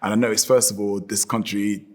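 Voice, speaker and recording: Low-voiced man reflecting. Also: little pitch movement, fairly quick. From born in Congo, grew up in North London. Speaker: interviewee. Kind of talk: reflective personal talk.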